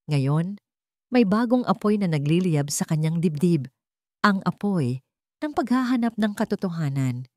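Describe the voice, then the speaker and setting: A woman narrates a dramatic story from a script in a Filipino accent. Her voice sits on the low side for a woman. The recording is close and dry, with little sense of a room around her. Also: expressive, unhurried, a little mellow, no room sound.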